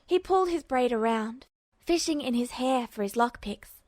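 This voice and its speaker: A woman's voice: fairly high pitch, expressive, moderate pace, a little mellow, no echo. A woman reading scripted fiction aloud as a storyteller, narrating the action. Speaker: narrator, fan fiction reader.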